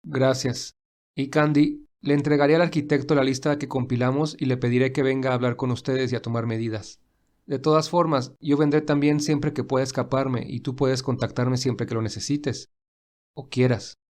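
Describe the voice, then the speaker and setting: A man playing a gentle, devoted young gentleman in an audio storybook, speaking calmly and tenderly. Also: medium pitch, normal speed, natural voice. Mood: tender, teasing. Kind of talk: audio storybook character dialogue.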